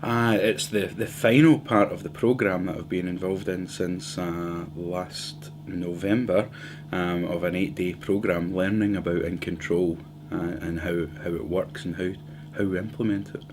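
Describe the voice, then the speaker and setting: A man with a Scottish accent giving a halting interview answer with fillers. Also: low-pitched, steady tone, a little hiss or hum. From Paisley, Scotland.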